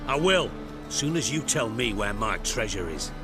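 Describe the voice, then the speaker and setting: A man playing a proud, menacing crime boss in a video game, speaking in a formal, deliberate, threatening manner. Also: on the low side, big pitch swings. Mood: probing, suspicious. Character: proud, menacing male crime boss owed his treasure. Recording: music behind the dialogue.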